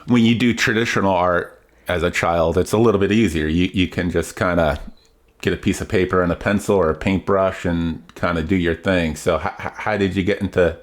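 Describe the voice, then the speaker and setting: Host: middle-aged man, deep voice, casual, stumbles over his questions. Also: fairly even intonation, brisk. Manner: stammering restarts, frequent short backchannels and laughs. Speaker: podcast host. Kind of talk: podcast interview.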